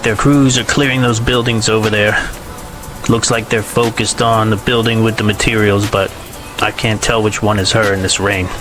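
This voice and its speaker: An actor playing a calm, observant male soldier in an audio drama. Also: lowish voice, normal speed, close to an everyday voice. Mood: reporting. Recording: music bed under dialogue.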